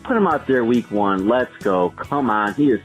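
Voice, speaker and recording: Animated male fan ranting over music. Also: medium pitch, moderate pace. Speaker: fan leaving a voicemail. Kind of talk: excitable sports fan rant.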